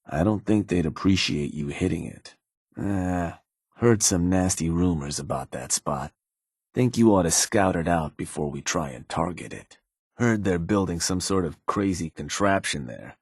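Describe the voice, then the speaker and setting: A man playing a gruff, shrewd raider strategist in a video game, with a husky voice and a laid-back, businesslike, colloquial delivery in clipped phrases. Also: sits low, animated, measured pace, darkish tone, no echo, studio quality, full character voice. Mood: casual advising. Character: gruff, shrewd male raider strategist advising his gang boss. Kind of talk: video game character dialogue.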